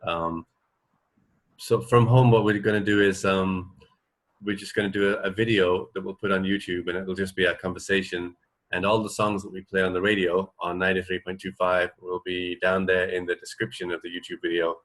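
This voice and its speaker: A man's voice: low-pitched, little pitch movement, a little fast, a bit bright. A man hosting a radio show from home, chatting casually in a loose, hesitant, rambling way. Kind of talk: casual chat.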